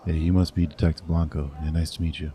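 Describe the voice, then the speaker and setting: Casting: a blunt veteran cop, deep and dark-toned. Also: male voice, level tone, fast. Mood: gruff. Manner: dry, blunt. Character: veteran male detective, gruff, wary.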